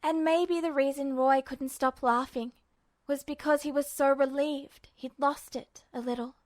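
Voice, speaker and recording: A woman reading fiction aloud as an expressive, scripted audiobook narration. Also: high-pitched, a little slow, dry recording, studio quality. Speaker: fan fiction audiobook reader.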